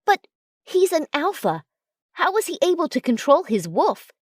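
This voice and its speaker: A woman voicing a nosy, excitable, teasing young female friend in a story. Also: high voice, normal speed, dry recording, clean studio sound, voice pushed up. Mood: shocked. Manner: excitable, prying.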